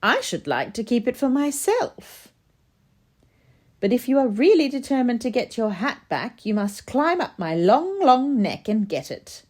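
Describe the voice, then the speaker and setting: A woman voicing a haughty, teasing female giraffe in a children's storybook, pompous and drawn-out. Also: fairly high pitch, sing-song, darkish tone, voice pushed up. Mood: smug, teasing. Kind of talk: children's storybook read-aloud.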